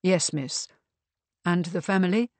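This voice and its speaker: A man's voice: high voice, unhurried, no room sound. A woman voicing a small, elderly male butler, polite, composed and deferential, in an audiobook.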